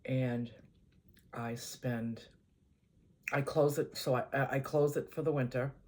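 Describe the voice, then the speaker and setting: An older woman with a Boston accent, chatting casually to her channel in an easygoing, rambling way, often letting her voice trail off quietly. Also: rumbling low. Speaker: vlogger. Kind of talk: casual chat to camera.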